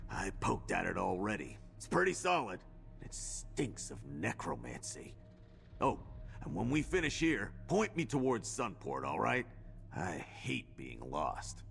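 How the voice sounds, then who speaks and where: Gravelly, swooping gruff game adventurer. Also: male voice, lowish voice, wildly swooping pitch, a little slow, fairly bright tone, soft background music, heavily acted. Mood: gruff, grumbling. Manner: folksy, blunt, colloquial. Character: gruff, wry, well-travelled male adventurer, easily lost. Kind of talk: video game character dialogue.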